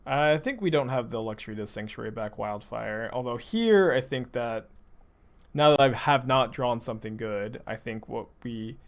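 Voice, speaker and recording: Young texan guy streaming a card game, dry sarcastic, kinda gravelly, talks to chat while he plays. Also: medium pitch, expressive, normal speed. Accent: urban Texan, mild. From Texas, USA. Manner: dry, sarcastic, thinking aloud with frequent restarts. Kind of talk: casual commentary over gameplay, chatting with viewers.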